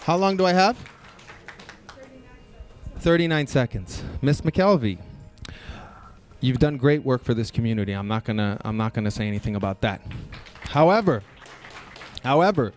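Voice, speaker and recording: A man's voice: medium pitch, lively intonation, moderate pace, pauses often, faint music behind. A man giving closing remarks at a local candidate debate, talking in a pointed, challenging way. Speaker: election candidate.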